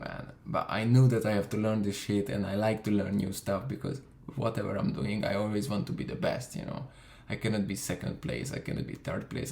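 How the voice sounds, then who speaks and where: A man's voice: on the low side, creaky, a little mellow. A young man from Hungary tells his story in a casual, profane way, with lots of fillers. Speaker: vlogger. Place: room in London. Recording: echoey room.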